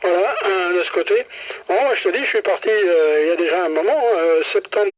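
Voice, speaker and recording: A man's voice: high-pitched, brisk. An older man chatting casually as a CB radio operator. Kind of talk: casual chat. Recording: muffled CB radio transmission.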